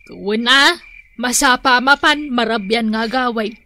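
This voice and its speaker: A woman's voice: fairly high pitch, measured pace, sharp tone. A woman playing a nagging, practical farmer's wife in an Ilocano radio comedy-drama, chiding and emphatic, with an Ilocano accent.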